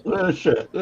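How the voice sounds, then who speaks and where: A deep-voiced person chats casually through a party game on a livestream, laughing often and acting out quotes in a higher voice. The voice has a gravelly fry. The audio is muffled and lo-fi. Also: male voice, noisy background. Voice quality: voice on the deep side. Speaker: co-host.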